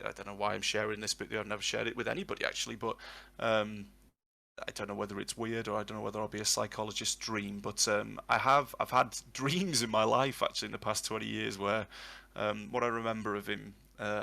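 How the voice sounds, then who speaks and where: A man's voice: fairly low pitch, gravelly, bright, forceful tone. A man in his thirties, a language tutor answering questions in a live session, long-winded and reflective. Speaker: Welsh tutor and guest. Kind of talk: live Q&A on a voice chat.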